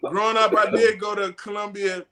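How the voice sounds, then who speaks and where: A rapper from South Carolina, talking in a casual, slangy way as a guest on a remote call. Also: male voice, high voice, little pitch movement, fairly quick, over a noisy crowd. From South Carolina, USA. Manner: laid-back slangy storytelling. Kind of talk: casual interview chat.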